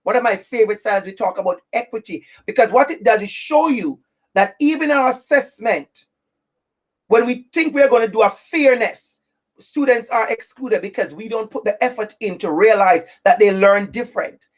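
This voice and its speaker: A woman from Jamaica with a Jamaican accent, presenting a webinar to teachers in an emphatic, preacherly way with deliberate repetition. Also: a little high. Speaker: equity trainer for teachers.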